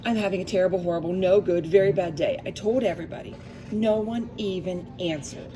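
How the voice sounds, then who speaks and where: A woman's voice: on the low side, fairly quick, noisy background. A woman reading a picture book aloud to young children, expressively, with asides and questions to her listeners.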